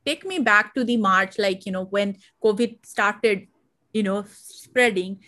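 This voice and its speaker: A woman's voice: medium pitch, a little slow, fairly bright tone. A woman with an Indian accent hosting an interview, talking in a hesitant way with many fillers and restarts. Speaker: podcast host. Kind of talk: conversational interview.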